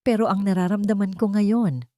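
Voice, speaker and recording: A woman's voice: mid-range voice, steady tone, normal speed, dark tone, no room sound, pitched above the performer's own voice, natural voice. A woman acts out a heartbroken young heroine of a romantic audio story. She speaks with open emotion, and the sound is dry and close. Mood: apologetic, resolute.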